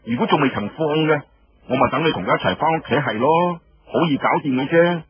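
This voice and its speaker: A brash rebel woman general, acted by a man, husky and fairly high, with a Cantonese accent. She is blunt and teasing, in radio-storytelling style. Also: fairly quick, no echo, highs cut off. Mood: reassuring, brisk. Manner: blunt, mocking, colloquial.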